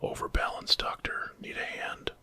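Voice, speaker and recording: A man's voice: normal speed, bright, forceful tone. A man voicing a sly, mocking male villain in a whispered ASMR story, smug and taunting.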